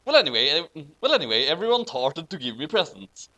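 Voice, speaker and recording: A man's voice: fairly high pitch, very animated, brisk, bright, forceful tone. A man reading fan fiction aloud theatrically, with amused, giggly commentary. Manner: theatrical, hammy emphasis; giggly, breaking into laughter.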